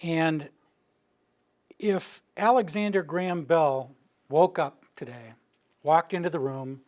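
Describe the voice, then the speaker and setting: Older man with a steady monotone delivery. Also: on the high side, slow. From Rocky Mountain region of the US. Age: older, near retirement. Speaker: utility planning director giving a public presentation. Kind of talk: informal public presentation. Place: meeting room with a live audience.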